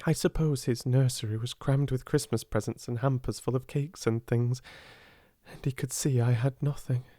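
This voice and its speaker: A woman's voice: rumbling low, fairly even intonation, normal speed, dark tone, dry recording, studio quality. A woman voicing a proud, half-starved young girl who speaks with fierce passion. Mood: wistful. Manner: passionate, intense.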